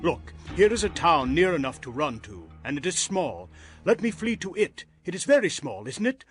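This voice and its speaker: A humble, anxious man pleads earnestly in a fairly high male voice. Music sits behind this biblical drama performance. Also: sing-song, moderate pace, breathy edge. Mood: fearful pleading. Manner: earnest, imploring. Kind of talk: dramatized scripture audio drama. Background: background music.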